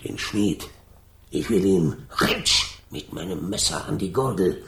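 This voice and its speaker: A sly, grumbling rogue in a children's radio play. He talks slowly with a very lively melody, full of theatrical mutters and self-pitying exclamations. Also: sits low, vocal fry, full character voice. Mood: aggrieved.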